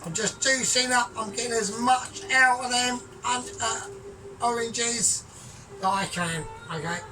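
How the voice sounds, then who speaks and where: A man talking through his cooking in a rambling, grandiose, sweary way, often trailing off quiet. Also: very high voice, unhurried, noisy background. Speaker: home cook vlogger. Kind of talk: casual cooking vlog. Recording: distant mic with music behind.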